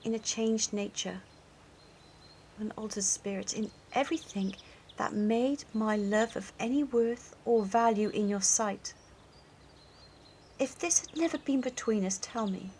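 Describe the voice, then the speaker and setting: A young actress playing a young woman gently releasing her former fiancé with measured, sorrowful words. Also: medium pitch, a little hiss or hum. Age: young adult.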